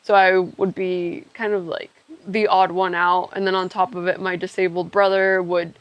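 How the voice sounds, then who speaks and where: A woman's voice: lowish voice, little pitch movement, normal speed, clear, resonant tone, no room sound, highs cut off. A young woman from Ecuador talking candidly and reflectively on a podcast, thinking aloud with trailing asides. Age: young adult. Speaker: podcast co-host telling their own story.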